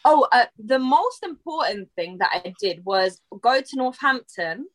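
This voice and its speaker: A woman in her mid-twenties with a high voice that swoops up and down. She chats in a breezy, scatterbrained way with friends. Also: expressive, measured pace, rough recording. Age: 20s. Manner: chatty, scatterbrained. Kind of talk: casual group chat.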